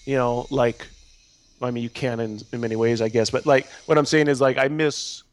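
A man's voice: mid-range voice, fairly even intonation, normal speed. An American man from Minnesota talking casually as an interview guest, rambling and often saying "you know". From Minnesota, United States. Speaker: podcast guest.

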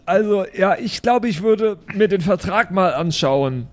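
A man's voice: high voice, animated, a little slow. A man improvising wry in-character banter as a player in a tabletop role-playing audio game.

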